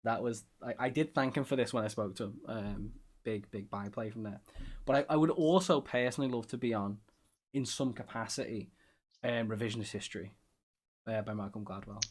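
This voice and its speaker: A man's voice: lowish voice, a little fast. A man with a Scouse accent hosting a podcast in casual chat, rambling and self-deprecating with lots of fillers. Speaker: podcast host.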